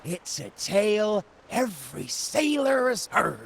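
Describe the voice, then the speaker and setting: A man's voice: high-pitched, very animated, slow, vocal fry, fairly bright tone, a little hiss or hum, exaggerated voice. A man playing a boastful, grovelling pirate henchman in a video game, telling tales in a theatrical, exclamatory way. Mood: dramatic awe. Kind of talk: video game character acting.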